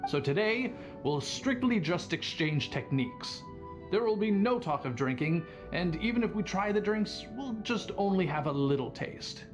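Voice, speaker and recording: A man's voice: sits high, lively intonation, moderate pace, music in the background. A man voicing a polite, eager and slightly nervous young bartender in an anime game, courteous and hesitant.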